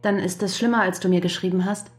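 A woman's voice: mid-range voice, fairly even intonation, fast, lightly acted. An actress playing a worried, devoted wife who visits her husband in prison and presses him with earnest questions, in a radio drama. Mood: concerned.

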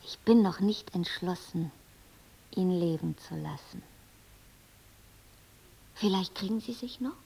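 An actress playing a solemn, fatalistic woman in a German radio play, with a husky, creaky voice. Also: fairly low pitch, lively intonation, slow pace, pauses often, mellow, heavily acted, poor audio. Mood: solemn, brooding.

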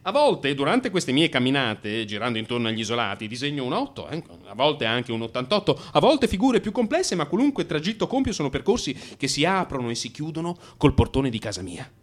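A restless man in crisis in a radio theatre monologue. He confides his frustrations in a theatrical, confessional way. His intonation is very animated, moving between hushed phrases and emphatic bursts. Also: mid-range voice. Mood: restless, determined. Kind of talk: dramatic first-person radio theatre monologue.

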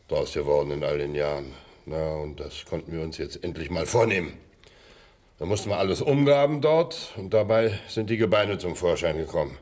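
A man playing a plain-spoken manor gardener, telling his tale haltingly and colloquially in a radio crime play. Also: deep voice. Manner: halting, folksy, colloquial storytelling.

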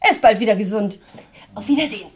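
An actress playing a friendly, shy young music teacher who giggles often, in a German radio play from the 1980s. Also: female voice, mid-range voice, animated, quick pace, breathy edge.

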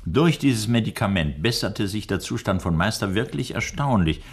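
An actor playing an older, courteous and worried doctor in a 1960s German radio drama, speaking in a measured, hesitant way. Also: male voice, fairly low pitch, lively intonation, slightly rough. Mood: storytelling, courteous.

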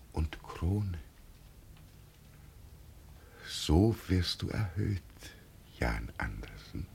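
Radio play role: deluded ageing labourer, humble yet grandiose, gravelly, halting, mysterious, with swooping intonation. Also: male voice, very deep voice, a little mellow, a little hiss or hum, exaggerated voice. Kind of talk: 1950s German radio drama acting.

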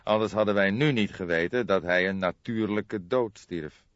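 Male reader as older male detective, audiobook, lowish voice, calm, wry, formal, slightly muffled recording. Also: moderate pace, no room sound, natural voice. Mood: thoughtful. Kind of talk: audiobook character voice.

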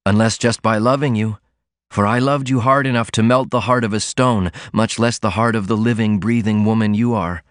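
Man acting an ardent young lover, low voice. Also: steady tone, moderate pace, no room sound, clean studio sound, lightly acted. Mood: joyful. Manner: earnest, fervent. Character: ardent young working man madly in love. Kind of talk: audiobook character dialogue.

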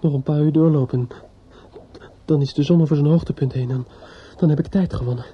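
An actor in a radio play playing a distraught, bitter young man in grief, speaking haltingly with stammers and repetitions. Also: on the high side, steady tone, a little hiss or hum, lightly acted. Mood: obsessive, unsteady. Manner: halting, stammering, repeats himself. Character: distraught, bitter young bridegroom-to-be, grieving and obsessive. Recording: dull lo-fi radio play recording.

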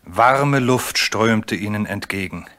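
Low-voiced man narrating a children's story. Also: slow pace, a bit bright. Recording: faint background noise.

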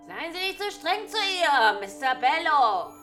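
Very high, girlish, teasing brothel girl. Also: very animated, a little slow, bright, forceful tone, full character voice. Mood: coaxing. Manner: playful, childlike. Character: girlish teasing young prostitute. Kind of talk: theatrical German radio drama acting. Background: music.

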